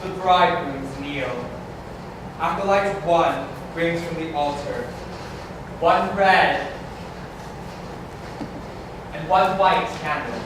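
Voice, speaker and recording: A man with a slightly high voice reads out stage directions plainly, as narrator of a staged reading. He sounds far from the mic in a very echoey hall, with noticeable noise. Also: slow pace, pauses often. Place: large community hall.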